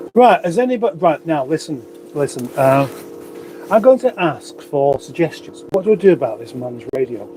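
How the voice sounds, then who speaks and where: A man's voice: fairly high pitch, big pitch swings, measured pace, long pauses, background noise. A man with a runny nose, hosting a casual livestream, rambling chattily with wry asides as he reads out comments. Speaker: livestream host. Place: garage.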